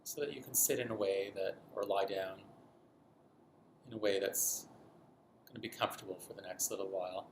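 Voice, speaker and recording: Low-voiced man guiding meditation in echoey room. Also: steady tone. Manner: gentle, unhurried, with long pauses. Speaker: meditation guide. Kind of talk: guided body scan meditation. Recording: roomy echo, faint background noise.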